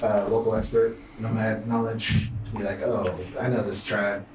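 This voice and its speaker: A man's voice: fairly low pitch, level tone, normal speed. A man, chatting casually as a player in a tabletop game. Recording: echoey, noisy room.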